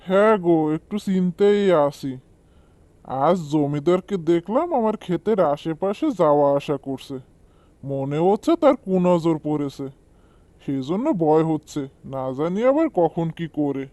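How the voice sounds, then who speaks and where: A man's voice: on the high side, lively intonation, a little slow, dark tone, dry recording. A man voicing a hardworking, dutiful farmer in a cartoon, speaking in an earnest, respectful way. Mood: worried. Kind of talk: amateur cartoon voice acting.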